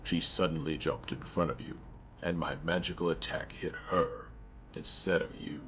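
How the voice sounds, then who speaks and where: A man plays a deposed former god in a game, calm and resigned as he faces his end. His deep voice stays measured and solemn, with a faint background hum. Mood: confessing. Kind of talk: fan voice acting for a game mod.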